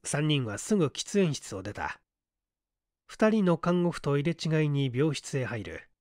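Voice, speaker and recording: Male narrator for mystery fiction: measured, slow delivery with lively dramatic pitch movement. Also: no room sound, studio quality. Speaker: audiobook narrator, stage actor. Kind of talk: dramatic scripted literary narration in Japanese.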